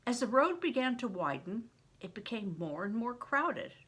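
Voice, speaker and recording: An older woman reading a children's novel aloud as expressive storytelling narration. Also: fairly high pitch, moderate pace, husky.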